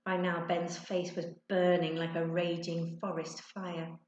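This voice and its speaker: A woman's voice: on the low side, level tone, a little slow, a little mellow, highs cut off, a little hiss or hum. A woman narrating a children's storybook expressively, like a teacher reading to her class. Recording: echoey, roomy sound.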